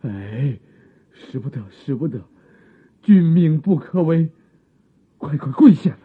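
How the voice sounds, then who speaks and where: A man playing a humble old village father in a period radio drama, speaking with husky, theatrical earnestness. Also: on the high side, expressive, takes it slowly, heavily acted. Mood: alarmed, urgent. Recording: muffled phone-like old radio sound.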